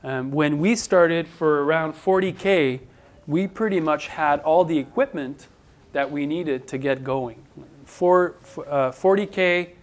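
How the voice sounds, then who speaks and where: A middle-aged man talks easily to a packed indoor hall, his voice sitting a little high. He speaks loosely, with pauses and restarts, as if chatting through slides. The sound is a handheld mic picked up in a big room. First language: French. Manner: relaxed, conversational, with fillers and restarts. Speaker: farmer giving a talk. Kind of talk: conference presentation. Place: large indoor hall with audience. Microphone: handheld mic, far camera.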